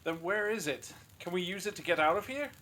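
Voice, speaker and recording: A man's voice: high-pitched, lively intonation, a little fast, bright, forceful tone, slight background noise. A man playing a haughty, suspicious captive in an audio drama, curt and sarcastic. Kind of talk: fan audio drama acting.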